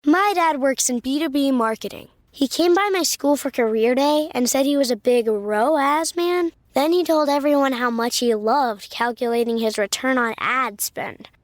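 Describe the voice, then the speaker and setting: A female performer playing an embarrassed youngster in a deadpan advert monologue. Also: sits high, normal speed, few pauses, no room sound, studio quality, close to an everyday voice. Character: embarrassed youngster talking about parent's job.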